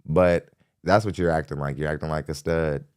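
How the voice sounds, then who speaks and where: A man's voice: a bass voice, fairly even intonation, normal speed, clear, resonant tone, no echo, studio quality. A man hosting a podcast, giving blunt, mocking live reaction commentary with laughs and repeated jabs. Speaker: podcast host reacting to a clip.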